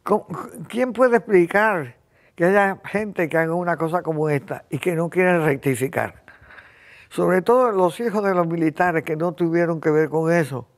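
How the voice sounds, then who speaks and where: A Cuban woman in her eighties hosting a conversation, talking in an impassioned, rambling way and often clearing her throat. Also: on the low side, moderate pace. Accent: Cuban Spanish. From Cuba. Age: 80s. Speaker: host. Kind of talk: casual conversation.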